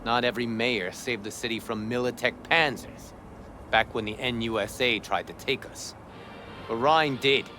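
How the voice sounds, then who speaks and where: A man's voice: mid-range voice, moderate pace, music in the background, lightly acted. A man playing a cynical, world-weary corrupt cop in a video game, with an American accent and scornful, lecturing street talk. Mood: insistent, bitter.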